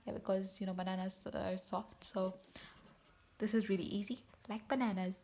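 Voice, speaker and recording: Woman, math tutor, casual and chatty, fixes her slips out loud, mid-range voice. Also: mid-range voice, fairly even intonation, moderate pace, slight background noise. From Tanzania. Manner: chatty, self-correcting, talks to viewers directly. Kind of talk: casual teaching video.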